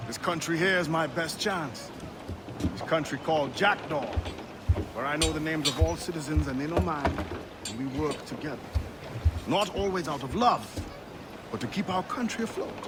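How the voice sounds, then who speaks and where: Principled quartermaster, higher pitch, dignified, game cutscene, watery boat noise. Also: male voice. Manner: earnest, dignified, measured. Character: proud, principled Trinidadian quartermaster, born a slave. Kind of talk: video game cutscene acting.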